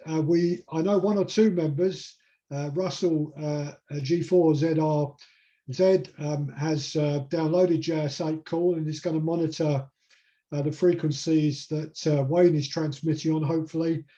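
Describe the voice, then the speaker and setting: A man hosting an online club meeting, introducing a speaker in an informal, halting way. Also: fairly high pitch, steady tone, dark tone, highs cut off.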